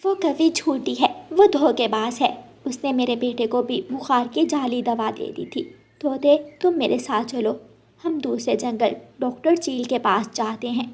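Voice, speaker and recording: A woman's voice: on the high side, measured pace, a little mellow, husky. A woman voicing an earnest, bossy, motherly female sparrow in a children's cartoon. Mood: indignant.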